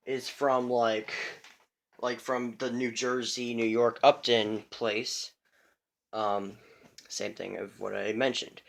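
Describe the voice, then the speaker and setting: A man's voice: mid-range voice, fairly bright tone. A man casually rambles through a show-and-tell of his radios at home, full of fillers and restarts, recorded on a phone. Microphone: phone camera.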